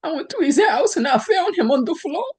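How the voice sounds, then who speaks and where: A woman whose first language is Creole, giving a soft-spoken, emotional testimony on a call. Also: extremely high pitch, very animated, fairly quick. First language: Haitian Creole. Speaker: counselor exam passer. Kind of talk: personal testimony on a video call.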